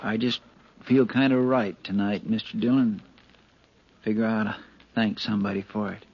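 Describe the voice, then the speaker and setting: An actor playing a sincere, humble marshal's sidekick in a 1950s radio western, earnest and deferential. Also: male voice, lowish voice, steady tone, moderate pace, gravelly, slightly rough. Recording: muffled, faintly noisy 1950s radio recording.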